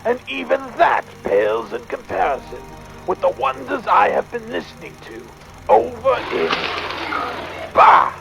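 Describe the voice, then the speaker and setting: A man playing a fussy, excitable Victorian gentleman inventor, speaking a pompous, wordy audio diary in a theatrical drama. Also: high voice, a little slow, vocal fry, over music, heavily acted, low-quality recording. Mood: wistful, then exasperated. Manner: pompous, wordy, hesitant musing.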